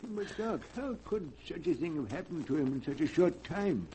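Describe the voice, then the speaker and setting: A man's voice: a little high, lively intonation, moderate pace, warm tone, close to an everyday voice. An actor playing a concerned, shaken man in a 1940s radio drama. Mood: shocked concern. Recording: noisy vintage 1940s radio recording.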